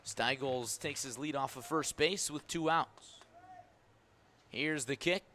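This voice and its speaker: Bright-voiced American baseball play-by-play man. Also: on the high side. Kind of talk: live radio sports commentary. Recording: live broadcast with background noise. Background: ballpark ambience.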